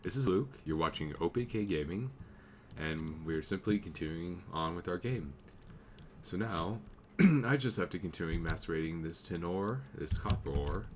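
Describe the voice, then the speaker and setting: A young man with a slight cold talks through his gameplay in a very low, unhurried voice, narrating each small step as it happens. The home recording has clear background noise and the click of a keyboard. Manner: easygoing, thinking aloud while playing. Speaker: gameplay commentator. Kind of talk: casual let's play commentary.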